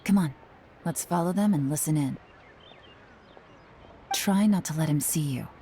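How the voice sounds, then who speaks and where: A woman playing a cool, composed and shrewd investigator in a video game, with a mellow, measured, self-assured delivery. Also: slight background noise. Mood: watchful. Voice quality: low, mellow.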